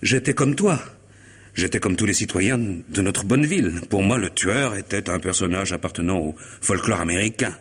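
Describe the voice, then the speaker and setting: A man acting in a French radio mystery play as a betrayed, distraught husband, sardonic and agitated while forcing himself to stay calm. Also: sits low, normal speed, slightly rough. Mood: strained calm, ironic.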